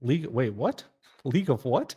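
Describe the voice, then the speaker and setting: A man's voice: mid-range voice, big pitch swings, moderate pace, dark tone, breathy edge. A man playing a brash, curious criminal defendant in casual online roleplay, slangy and full of questions. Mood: incredulous.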